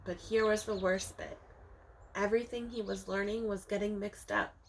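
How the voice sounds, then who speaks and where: A woman teacher reading a picture book aloud to young children, expressively, with dramatic emphasis and drawn-out words, speaking to them directly. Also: mid-range voice, fairly even intonation, slight background noise, rough recording. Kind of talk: animated children's storybook read-aloud.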